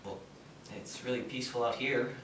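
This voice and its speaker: A man acting a shy, awkward man full of self-doubt in a stage play. He stammers softly from some distance, in an echoey space with faint noise. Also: mid-range voice, steady tone, moderate pace, close to an everyday voice. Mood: subdued. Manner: stammering, tentative, soft-spoken.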